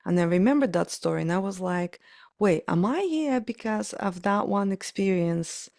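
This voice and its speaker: A woman's voice: mid-range voice, lively intonation, moderate pace, gravelly, darkish tone, no room sound, studio quality. A woman in her forties with a Ukrainian accent, speaking reflectively and telling stories as an interview guest. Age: 40s. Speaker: podcast guest, pianist and performance coach.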